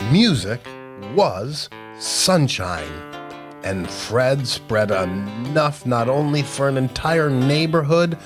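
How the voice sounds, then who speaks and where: A man reads a picture book to children with a swooping, animated delivery. He takes his time and stretches key words for emphasis, while guitar music plays clearly behind him. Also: mid-range voice. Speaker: children's storytime host.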